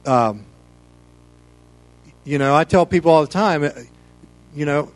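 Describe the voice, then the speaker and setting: A man's voice: fairly high pitch, unhurried, dry recording, low-quality recording. A middle-aged or older American man giving an informal sermon as a pastor, in a folksy, rambling, conversational way full of "you know.". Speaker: pastor leading a Bible study.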